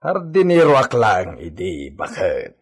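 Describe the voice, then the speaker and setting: A low-pitched Ilocano actor playing a hardworking villa servant, once a gardener. His intonation swings widely as he banters and exclaims in radio drama style. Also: wildly swooping pitch, a little slow, husky, heavily acted. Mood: earnest.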